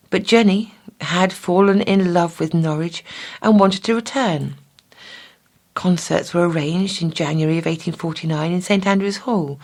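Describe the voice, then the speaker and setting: Lower-pitched woman reading a scripted feature. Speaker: narrator of a talking newspaper feature.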